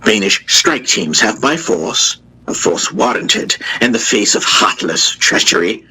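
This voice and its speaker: A man voicing a slow, solemn, resolute queen. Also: lowish voice, big pitch swings, sharp tone, a little hiss or hum, exaggerated voice, poor audio. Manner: deliberate, oratorical, with heavy pauses. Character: solemn, resolute queen addressing her war-weary people. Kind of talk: formal wartime radio address in an audio drama.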